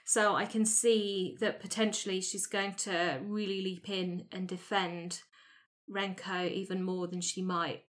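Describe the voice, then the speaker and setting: A woman player speaking casually and haltingly as she plans a character for a tabletop game. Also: mid-range voice, little pitch movement. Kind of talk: casual chat planning a character.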